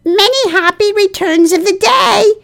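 A woman voicing a small, timid, anxious young piglet from a children's storybook, thin and squeaky, with an earnest, fretful manner. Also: measured pace, vocal fry, a bit bright, full character voice. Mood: eager. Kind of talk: children's storybook character reading.

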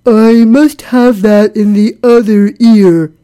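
Slow, gloomy, muffled old donkey. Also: female voice, a little high. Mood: doubtful. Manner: ponderous, mournful, deadpan. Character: gloomy, weary old grey donkey, sardonic and doubtful. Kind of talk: children's storybook character reading.

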